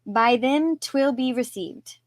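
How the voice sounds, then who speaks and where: A woman's voice: a little high, slow, no room sound, clean studio sound. A woman co-hosting a podcast in casual discussion, with a giggly, somewhat hesitant way of talking. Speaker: podcast co-host.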